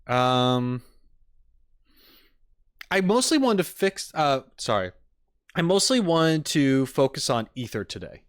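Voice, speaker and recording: Male streamer, medium pitch, animated intonation, hesitant casual chat as he thinks aloud. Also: slow, long pauses, clear, resonant tone, no echo, studio quality. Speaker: streamer reacting to game content. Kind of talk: casual chat with live viewers.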